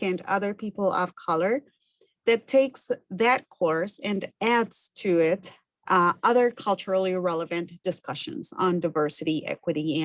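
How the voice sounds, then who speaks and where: A woman's voice: mid-range voice, no echo. A woman from Argentina whose first language is Spanish, speaking English with an Argentine accent as an educator, giving a methodical, earnest presentation that lists things one by one. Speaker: farm business educator. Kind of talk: recorded self-introduction presentation.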